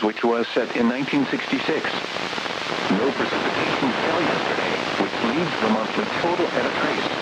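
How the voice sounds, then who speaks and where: A man's synthetic voice at a middling pitch reads out automated weather bulletins. The delivery is formal and measured, like an official announcer. It comes over a radio broadcast with clearly audible hiss and noise.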